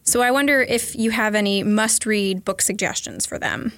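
A woman's voice: medium pitch, moderate pace, fairly bright tone, no echo. Woman interviewer, dry close sound. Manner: light, laughs easily. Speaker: podcast host.

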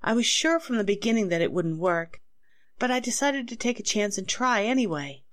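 A woman playing a distraught accused wife in 1940s radio melodrama, halting, anxious and pleading. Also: mid-range voice, very animated, close to an everyday voice.

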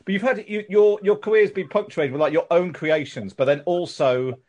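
A man's voice: sits high, normal speed, darkish tone. A man hosting a casual interview, asking questions enthusiastically and laughing easily.

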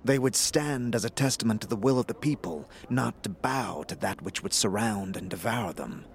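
A man playing a solemn, philosophical warrior companion in a fantasy audio drama, speaking formally and deliberately. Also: on the low side, vocal fry, slight background noise.

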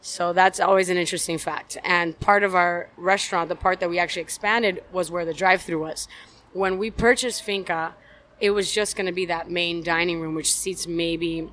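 A woman's voice: fairly low pitch, fairly even intonation, normal speed, a bit bright. A thirty-year-old woman with a Miami American accent, chatting candidly and jokingly as a guest. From Miami, USA. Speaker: podcast guest, chef and restaurant owner. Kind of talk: casual interview chat.

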